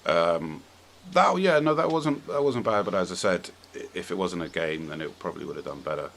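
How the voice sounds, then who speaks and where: Deep-voiced man rambling through casual chat. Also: expressive, a little fast, a bit bright. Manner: rambling, tentative, thinking aloud. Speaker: podcast host. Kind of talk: casual film and game chat. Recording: clearly audible background noise.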